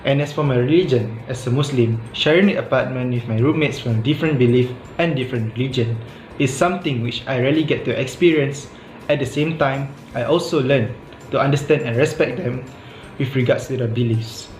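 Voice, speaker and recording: A man's voice: mid-range voice, a little fast, a little mellow. Young man reading earnestly, echoey room. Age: young adult. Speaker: student. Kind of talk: scripted reflection read aloud. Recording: roomy echo with background music.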